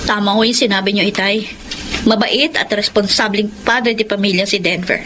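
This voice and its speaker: An actress playing an anxious, long-suffering wife in a radio drama, with emotional, insistent delivery. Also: female voice, medium pitch, fairly even intonation, normal speed, noisy background, close to an everyday voice. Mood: worried. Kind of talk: Tagalog radio drama acting.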